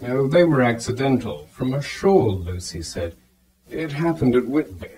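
An actor playing a devoted, anxious gentleman suitor in a radio drama. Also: mid-range voice, lively intonation, moderate pace, close to an everyday voice, low-quality recording.

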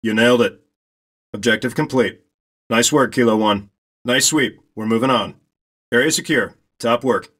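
Game role: male actor as military spotter pilot, middle register, terse and commanding, steady under pressure. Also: medium pitch, normal speed, pauses often, close to an everyday voice. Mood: focused, commanding. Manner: clipped, commanding. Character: steady male military reconnaissance pilot directing a ground squad. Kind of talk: terse military radio commentary, game acting.

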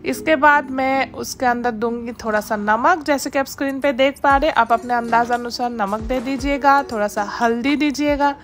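A woman hosting her home cooking channel, giving steady step-by-step instructions in a voice-over and mixing English words into her speech. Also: a little high, moderate pace, hardly any pauses, soft background music.

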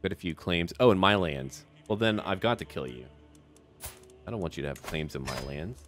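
A man's voice: low voice, lively intonation, moderate pace, fairly bright tone, faint music behind. A man hosting a casual roleplay gaming video, commenting in a chatty, offhand way as he addresses the game's characters.

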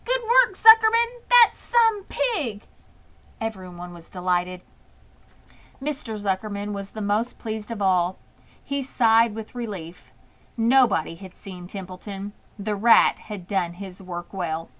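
A woman reading a children's storybook aloud as an expressive narrator. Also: mid-range voice, measured pace. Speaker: audiobook reader.

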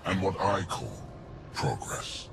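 An actor playing a cold, arrogant alien warlord in a game, gravelly, slow and imperious, with contempt in his delivery. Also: low voice, very animated, very noisy, exaggerated voice. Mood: coldly commanding. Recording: loud music underneath.

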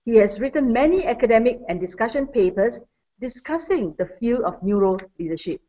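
A woman with a fairly high, lively voice introduces a guest in a formal manner. Her tone is mellow, and the sound comes through dull and lo-fi, as from a webinar line. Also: fairly quick. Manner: warm, formal, reads credentials. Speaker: webinar moderator.

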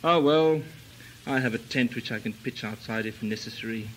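An actor playing a brooding long-lost cousin in a comic radio melodrama, speaking in a grandiloquent, theatrical way. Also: male voice, mid-range voice, normal speed, a bit bright, slight background noise, close to an everyday voice, low-quality recording. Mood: weary, pompous.

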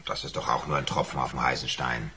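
Ein Schauspieler spielt im Hörspiel den nüchternen, schroffen Chef einer angeschlagenen kleinen Firma. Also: male voice, extremely low pitch, steady tone, fast talker, sharp tone, slight background noise, exaggerated voice. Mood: dismissive. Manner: matter-of-fact, evasive, brusque. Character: unsentimental boss of a struggling small firm. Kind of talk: radio drama acting.

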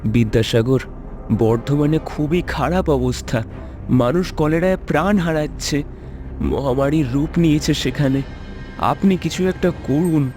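A man's voice: fairly high pitch, measured pace, darkish tone, soft background music, close to an everyday voice. Man playing a worried, pleading villager. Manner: earnest, pleading. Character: worried, pleading male villager bringing news. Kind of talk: cartoon voice acting.

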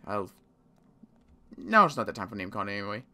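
A man playing a worried zombie apocalypse survivor in an improvised game roleplay, muttering to himself and repeating words haltingly. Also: on the low side, big pitch swings, sharp tone, exaggerated voice.

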